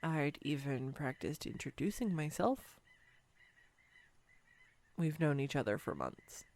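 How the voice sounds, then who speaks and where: A woman's voice: sits low, big pitch swings, slow pace, vocal fry, a little mellow, full character voice. A woman playing a clumsy, sweetly self-deprecating young woman on a date, in an intimate, earnest romantic audio roleplay. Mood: tender, sheepish.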